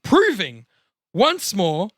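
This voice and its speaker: Male actor as sarcastic comic-book slacker, crude and overblown, high voice with big swings, comedy table read. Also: wildly swooping pitch, very slow, fairly bright tone, dry recording, clean studio sound, heavily acted. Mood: mock-grand sarcasm. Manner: exaggerated, sarcastic delivery. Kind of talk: overacted comedy script read.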